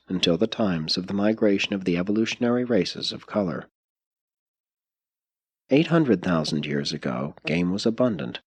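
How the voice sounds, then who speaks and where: A man reading a scripted audiobook narration in a measured, formal way with clearly phrased sentences. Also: fairly low pitch, dry recording. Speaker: audiobook reader.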